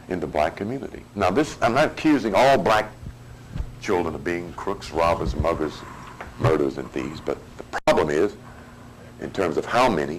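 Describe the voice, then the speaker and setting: A man giving a measured, emphatic lecture to an audience. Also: low-pitched, a little hiss or hum, poor audio. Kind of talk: lecture speech.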